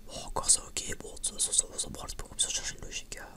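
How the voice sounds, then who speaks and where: An ASMR creator whispering in a breathy, unvoiced voice, rambling informally and hesitantly as if chatting to viewers. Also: extremely high pitch, completely flat delivery, fast talker.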